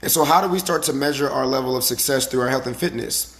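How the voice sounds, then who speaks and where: A man with a raspy, slightly hoarse voice giving a casual motivational talk as a fitness coach, emphatic and repetitive with frequent rhetorical questions. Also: mid-range voice, quick pace. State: slightly hoarse from the previous day.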